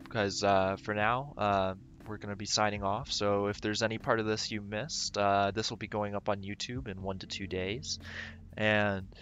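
A man streaming a game, rambling casually and thinking aloud with lots of fillers, his voice going raspy. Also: on the low side, little pitch movement, normal speed, a bit bright, muffled recording. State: voice getting raspy. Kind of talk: casual gameplay livestream commentary.

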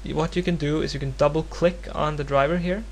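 A man's voice: on the high side, steady tone, quick pace. Norwegian-accented man, hesitant, noisy home recording. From Norway. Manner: hesitant, rambling, self-deprecating. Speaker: tutorial presenter. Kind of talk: casual, unscripted screen-recorded software tutorial. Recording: home recording with clear background noise and hum.

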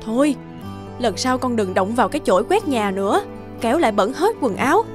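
A woman voicing a poor, weary, self-sacrificing village mother in a children's folk-tale cartoon, gentle and plaintive. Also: fairly high pitch, very animated, a little fast. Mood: tender, coddling. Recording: music behind the voice.

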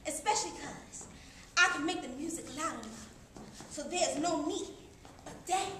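A woman performing a theatrical poetry monologue in an emotive, dynamic delivery that moves between hushed and loud. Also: sits high, lively intonation, moderate pace. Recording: echoey room with faint background noise.

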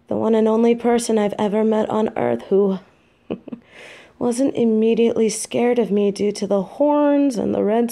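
An actress playing an affectionate, tired demon girlfriend in an audio roleplay, talking softly and close. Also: medium pitch, gravelly.

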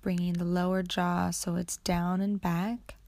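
Slow, soothing woman guiding meditation. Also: lowish voice, little pitch movement, darkish tone, no room sound. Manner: soothing, unhurried, breathy phrase endings. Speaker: yoga nidra meditation guide. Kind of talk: guided meditation narration.

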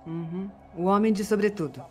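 An actress playing a composed, businesslike police sergeant in an audio drama, formal and measured. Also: female voice, mid-range voice, faint music behind, lightly acted. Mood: calm, official.

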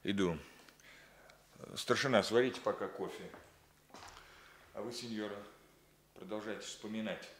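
Low-voiced, composed police captain, played by an actor. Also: male voice, slight background noise, natural voice. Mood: patient insistence. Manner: measured, probing. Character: composed, patient, insistent police captain investigating murders. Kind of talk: Soviet 1960s radio drama acting.

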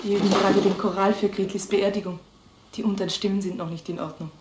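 An actress playing a proper village schoolteacher with a brisk, correct and dismissive manner. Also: female voice, mid-range voice, steady tone, close to an everyday voice. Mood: composed. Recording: echoey, noisy old film soundtrack.

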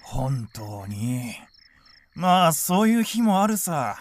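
A man playing a hot-headed, vengeful village hunter in a radio drama, rough and blustering. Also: fairly high pitch, sing-song, slow pace. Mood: grumbling.

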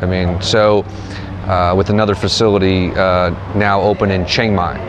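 A man's voice: low voice, level tone. Man in a noisy outdoor gym. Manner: halting, many restarts and fillers. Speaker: gym staff member. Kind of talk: on-camera interview. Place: open-air training gym, outdoors under a roof. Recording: outdoor noise behind the voice.

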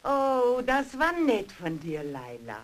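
An actress plays a sweetly polite, genteel woman friend in a horror audio drama. She drawls in an affected way, slow and fairly high, with lively swings of pitch. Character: polite, genteel old female friend at tea. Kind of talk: horror radio play acting.

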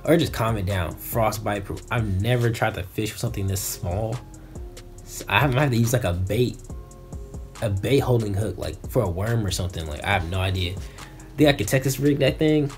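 Young adult American male, lowish pitch, laid-back slangy vlogger delivery, music underneath. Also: normal speed. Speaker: fishing vlogger. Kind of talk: casual unboxing chat. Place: small bedroom, indoors.

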